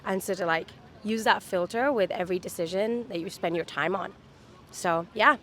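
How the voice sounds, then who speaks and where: A woman's voice: mid-range voice, normal speed, a bit bright, slight background noise. A woman in her thirties from Dubai, chatting upbeat as a street interview guest, with many fillers. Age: 30s. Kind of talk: casual chat. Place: outdoors on a city street.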